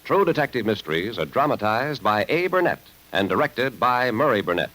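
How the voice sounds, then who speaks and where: A man's voice: mid-range voice, big pitch swings, fairly quick, a bit bright, faint music behind, poor audio. A man working as a radio announcer in a polished, upbeat 1950s sponsor style on a vintage broadcast recording.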